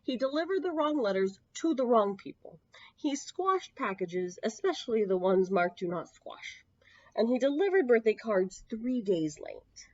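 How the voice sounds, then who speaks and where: A woman's voice: fairly high pitch, dry recording. Woman reading a storybook, dry muffled recording. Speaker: children's storyteller at a public library. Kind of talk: expressive picture-book read-aloud for young children. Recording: dull lo-fi, close dry sound.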